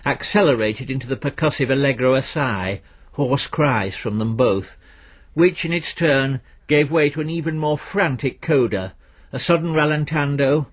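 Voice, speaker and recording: A man reads literary fiction aloud in a measured, dryly understated way, his voice a little husky. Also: medium pitch. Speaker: narrator reading fiction aloud. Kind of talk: scripted literary narration.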